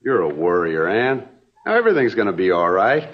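An actor in his thirties playing a charming, breezy young swindler in an old TV western, smooth-talking and nonchalant. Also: deep voice, wildly swooping pitch, a little fast. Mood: breezy reassurance. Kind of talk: 1960s TV western acting. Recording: dull old TV soundtrack under music.

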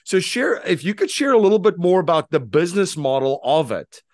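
A man's voice: high voice, big pitch swings, a little fast, darkish tone, no room sound, studio quality. A man in his thirties hosting a podcast, asking questions and reading a sponsor message in an earnest, emphatic way. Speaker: podcast host. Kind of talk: interview questions and scripted ad read. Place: room with gray backdrop.

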